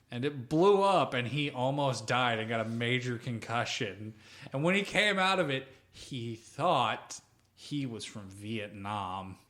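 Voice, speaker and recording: Casual, animated man telling gaming stories. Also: mid-range voice. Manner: animated storytelling, breaking into laughter, swearing. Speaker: podcast co-host. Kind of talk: casual chat about tabletop roleplaying.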